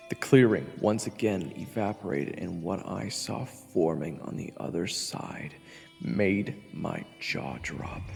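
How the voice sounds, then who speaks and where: Low, gravelly male fantasy narrator. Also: in a large hall. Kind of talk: scripted fantasy story narration. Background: faint music.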